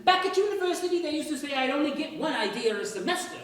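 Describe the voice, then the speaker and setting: A woman playing an eager, bumbling young man in a staged radio play, halting and excitable, recorded echoey from far off. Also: high-pitched. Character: eager, bumbling, self-deprecating young man, nervously trying to take charge.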